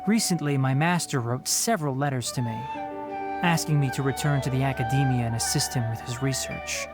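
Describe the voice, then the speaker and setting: A man acting an earnest young male scholar in a video game cutscene, with a mid-pitched voice. He speaks in a measured, polite way and sounds thoughtful and articulate. Clear music plays behind him. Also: lightly acted. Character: earnest, thoughtful young male scholar and forest watcher, loyal to his master.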